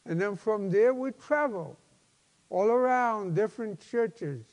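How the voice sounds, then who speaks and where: A man in his seventies, a pastor preaching a personal testimony in an emphatic, halting, folksy way. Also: high-pitched, animated, a little mellow, highs cut off. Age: 70s. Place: large church sanctuary, at a lectern. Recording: long hall reverb.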